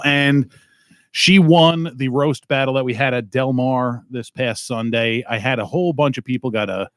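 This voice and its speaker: A man's voice: medium pitch, no echo, studio quality. A man hosting a weekly comedy podcast, swearing freely and teasing his co-host in loose banter. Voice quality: profane, teasing. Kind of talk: crude casual banter.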